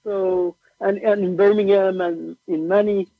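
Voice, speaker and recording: High gravelly man on a tinny line. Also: a little slow, dry recording. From Norway. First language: Norwegian. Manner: relaxed, slangy, says "bro" and "like" often. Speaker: musician guest. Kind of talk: casual interview chat. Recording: tinny phone-like remote line.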